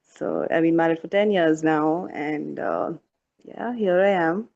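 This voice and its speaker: Halting woman on a dull lo-fi recording. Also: low-pitched, vocal fry, darkish tone. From Dubai. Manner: halting, many fillers and restarts. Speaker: panel guest, mother and blogger. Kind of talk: casual panel talk.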